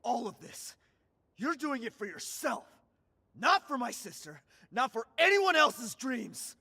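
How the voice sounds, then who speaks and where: An actor playing a grieving young man in a game cutscene, his voice swinging between hushed and raised. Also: very high-pitched, very animated, unhurried, creaky, husky, big-room sound, full character voice. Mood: angry. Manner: emotional, swinging from hushed to shouting.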